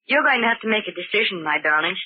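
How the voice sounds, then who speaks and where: An actress playing an earnest, loving, long-suffering young wife, tender but firm and pleading in an old radio drama. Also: female voice, medium pitch, animated, quick pace, breathy edge. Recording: muffled old radio broadcast recording.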